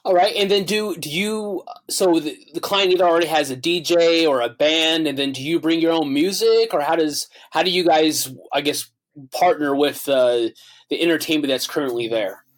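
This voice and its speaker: A man's voice: fairly high pitch, moderate pace. A man hosting a wedding podcast, enthusiastic and full of filler uhs. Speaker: podcast host.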